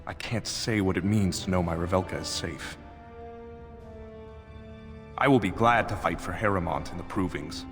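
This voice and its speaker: An actor playing an earnest, anxious dwarf warrior in a video game, speaking haltingly. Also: male voice, low-pitched, a bit bright. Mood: relieved, grateful. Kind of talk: video game character acting. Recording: long reverb, music behind.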